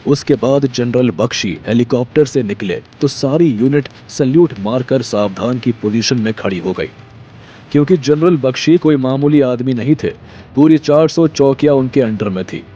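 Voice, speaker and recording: A man's voice: mid-range voice, normal speed, dark tone, dull audio, slight background noise. A man narrating a scripted audio drama in a dramatic storytelling style.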